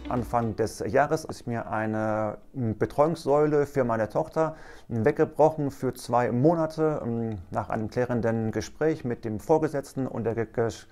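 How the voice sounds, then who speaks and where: Calm, hesitant man in his forties. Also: medium pitch, moderate pace, faint music behind. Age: 40s. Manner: calm, hesitant with many fillers. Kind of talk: corporate video interview. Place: bright office with plants.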